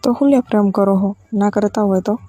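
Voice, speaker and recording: A woman's voice: medium pitch, steady tone, fairly quick, mellow, husky, dry recording, lightly acted. A woman with a Gujarati accent playing a demanding village in-law who wheedles insistently in a casual phone conversation. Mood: coaxing, stubborn.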